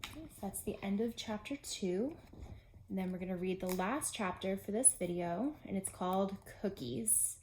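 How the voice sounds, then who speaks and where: A woman's voice: medium pitch, normal speed, a little mellow, a little hiss or hum. A woman teacher narrating a children's storybook aloud, with simple phrasing aimed at young children. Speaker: pre-K teacher reading aloud.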